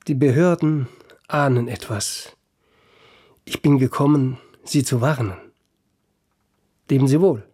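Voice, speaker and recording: An actor playing a cool, disapproving doctor with a formal, reserved manner in a radio play. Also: male voice, mid-range voice, measured pace, pauses often, warm tone, slightly rough. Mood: grave, distant. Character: cool, disapproving male doctor, guarded former friend. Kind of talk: German radio play acting.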